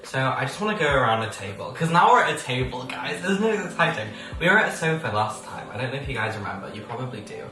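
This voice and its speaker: A man's voice: medium pitch, big pitch swings, quick pace, hardly any pauses, pushed and bright, soft background music. A sixteen-year-old man hosting a casual podcast, playful and rambling, laughing often as he talks. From England. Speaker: podcast host. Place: indoors at a table. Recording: roomy sound from a distance with background noise.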